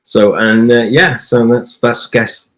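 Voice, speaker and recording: A man from the border between Wales and England, speaking in halting, brief replies as an interviewed author. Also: medium pitch, steady tone. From Welsh-English borderland. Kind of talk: casual interview talk.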